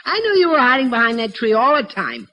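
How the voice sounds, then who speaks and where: A man's voice: extremely high pitch, wildly swooping pitch, fast, highs cut off, full character voice. An actor playing a good-natured, hapless husband and father in a 1940s radio sitcom, stammering and giggling as he talks. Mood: fatherly, muddled. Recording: noisy 1940s radio, studio audience behind.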